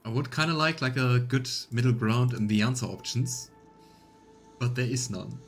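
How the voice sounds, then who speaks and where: A man with a medium-pitched voice hosts a let's play. He reads the game's narration and comments casually, with faint music playing behind him. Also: normal speed.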